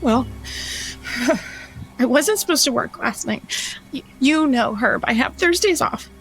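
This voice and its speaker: A woman playing a sweet, distraught working mother in an audio drama, speaking in an earnest, halting, fretful way. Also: high-pitched, lively intonation, soft background music, rough recording. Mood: upset, explaining. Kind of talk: immersive audio drama acting.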